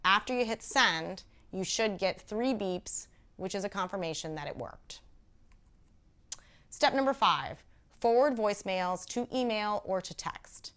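A woman in her thirties presents a how-to video on a lapel mic. She speaks at a medium pitch in an instructional way, going step by step and dropping in conversational asides. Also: expressive, a little slow, a bit bright. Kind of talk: step-by-step tutorial to camera.

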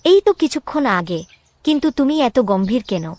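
A woman's voice: sits high, big pitch swings, normal speed, a little mellow, dry recording. A woman playing a caring, anxious young royal woman in a cartoon drama, speaking earnestly and expressively. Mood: curious, concerned. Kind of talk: dramatic Bengali cartoon voice acting.

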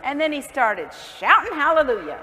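A woman's voice: high-pitched, sing-song, moderate pace, background noise. A woman acting an indignant, scornful, prim churchwoman of the early 1900s in a stage play, emphatic and exasperated. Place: stage of a large theatre hall. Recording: echoey theatre hall.

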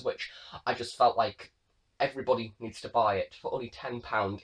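Young male vlog reviewer: quick pace, average pitch, eager, wandering, informal delivery. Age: young adult. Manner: enthusiastic, rambling, gesturing. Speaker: host, audio drama reviewer. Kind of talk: casual scripted-free review to camera. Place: small bedroom, indoors.

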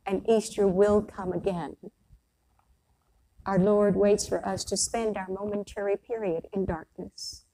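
An older woman, a priest, delivers a prepared sermon in measured, deliberate phrases with pauses. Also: medium pitch, warm tone, slight background noise, poor audio. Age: older, around 60s. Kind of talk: sermon, read from a prepared text. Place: church sanctuary, at the pulpit.

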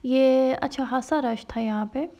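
A woman's voice: high voice, fairly even intonation, unhurried, creaky, highs cut off. A woman travel vlogger narrating her trip casually, slipping English phrases into her talk with frequent fillers. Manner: chatty, mixes English phrases into Hindi-Urdu, many fillers.

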